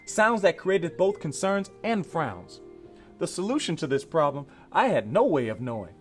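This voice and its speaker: Animated American man reading a storybook. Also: on the high side, normal speed, faint music behind. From Louisiana, USA. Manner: encouraging, emphatic, drawing listeners to join in. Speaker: storybook author and read-along presenter. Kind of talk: animated read-along storytelling for children.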